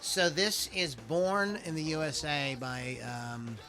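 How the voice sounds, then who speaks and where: A man with a bright, forceful voice talks loosely as a show host, circling his points with fillers and restarts. He drops a casual curse now and then. Faint music plays behind him. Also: fairly high pitch, lively intonation, takes it slowly. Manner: rambling, full of fillers and restarts; loose and profane. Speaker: podcast host. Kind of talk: casual chat about songs.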